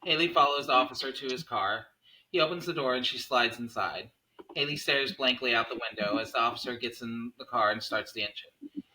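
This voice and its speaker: A man's voice: mid-range voice, brisk, bright, forceful tone, slight background noise, poor audio. A man reading stage directions aloud as the narrator of a table read.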